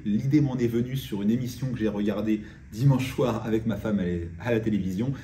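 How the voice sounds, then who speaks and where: A man's voice: lowish voice, warm tone. A man giving an informal explainer as a real estate agent presenting a video. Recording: roomy echo, distant mic, faint noise.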